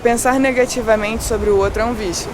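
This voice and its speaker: A woman answering a street interviewer casually, thinking aloud in a relaxed way. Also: fairly high pitch, brisk, crowd noise. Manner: relaxed, thinking aloud with "vai" and "tipo assim". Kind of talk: casual vox-pop answers. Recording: loud street traffic noise.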